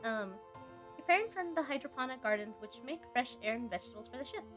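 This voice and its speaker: Chatty high-voiced woman streaming a game. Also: animated, fast, over music. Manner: chatty, reactive, mumbles asides to herself. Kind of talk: casual game commentary, reading on-screen text aloud.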